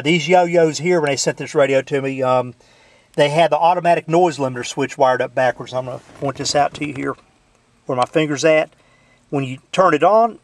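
A man's voice: fairly high pitch, dry recording. A man in his truck casually showing off a CB radio, folksy, with lots of filler words. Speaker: CB radio hobbyist demoing a radio. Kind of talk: casual show-and-tell.

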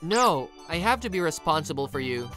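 A woman's voice: deep voice, big pitch swings, moderate pace, a bit bright. A woman playing a kind, responsible young office worker and uncle in a story, with an earnest, attentive manner. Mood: apologetic. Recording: music behind the voice.

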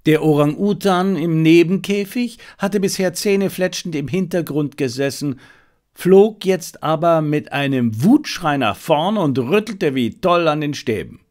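A man's voice: fairly high pitch, animated, unhurried, a little mellow. A man reading a detective story aloud as a scripted audiobook narration.

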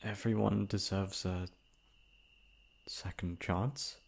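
A man playing a remorseful, self-loathing young prince in a formal, halting audio roleplay monologue. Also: low-pitched, fairly even intonation, gravelly, husky, heavily acted. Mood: ashamed, self-loathing. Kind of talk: dramatic ASMR roleplay monologue.

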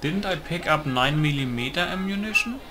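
A man's voice: on the high side, lively intonation, moderate pace, bright, forceful tone. A man giving casual gameplay commentary, musing aloud and often asking himself questions. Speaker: gaming video host. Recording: music playing behind the voice. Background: music.

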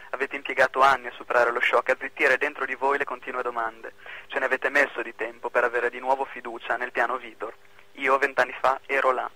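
A man playing a secretive, commanding former prisoner in an amateur radio drama, calm and terse. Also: medium pitch, low-quality recording.

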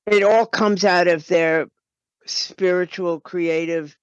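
Slow, halting older woman, muffled audio. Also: fairly low pitch, fairly even intonation, smooth, dry recording. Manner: thoughtful, halting, explanatory. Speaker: guest, writer and producer. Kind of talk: reflective interview talk.

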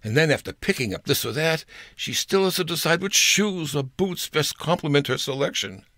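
A man's voice: a little high, animated, normal speed, sharp tone, dry recording, clean studio sound. An older man reading his own humorous personal story aloud in a wry, storytelling manner. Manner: wry storyteller with playful voices.

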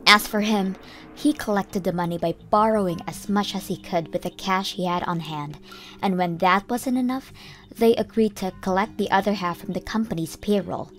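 A woman narrates a story in the first person from a script. Her pitch is middling and her manner earnest. Soft music runs underneath. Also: normal speed.

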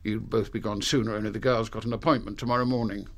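An actor playing a dry, authoritative British intelligence chief in a radio drama, clipped and matter-of-fact. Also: male voice, fairly low pitch, steady tone, fast, close to an everyday voice. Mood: brisk.

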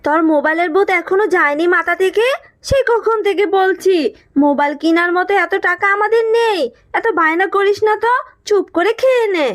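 A woman's voice: very high voice, brisk. A woman voicing a careworn, caring but scolding animal mother in a children's cartoon, with a fussing, motherly manner. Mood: exasperated, scolding. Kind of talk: Bengali children's cartoon voice acting.

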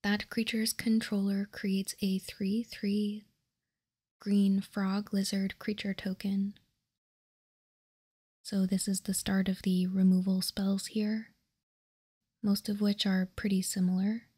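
A woman whispers in an ASMR style, close to the microphone. Her delivery is very slow and deliberate, with an even, flat pitch. The voice is breathy and hushed as she reads aloud. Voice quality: soft unvoiced whisper, breathy. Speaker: ASMR host. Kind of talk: ASMR, reading card text aloud.

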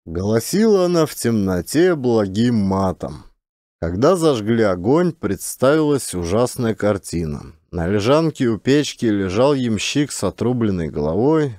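A man reading a literary story as an audiobook narrator, in an expressive, measured storytelling manner. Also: lowish voice, no echo, studio quality.

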